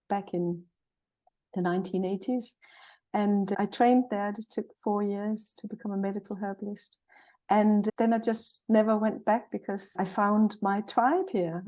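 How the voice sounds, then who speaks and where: A woman in her sixties with a Danish accent, speaking as an interview guest. Her voice is mellow and soft-edged. She talks in a friendly, cheerful way, thinking aloud as she goes. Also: medium pitch. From Jutland, Denmark. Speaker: guest, naturopath and herbalist. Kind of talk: conversational interview.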